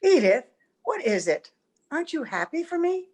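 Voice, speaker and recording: Dreamy young bride, played by an actress, high-pitched, naive and emotional, old-time radio style. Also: female voice, very animated, moderate pace, darkish tone. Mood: affectionate, concerned. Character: romantic, breathlessly happy young bride, naive and anxious.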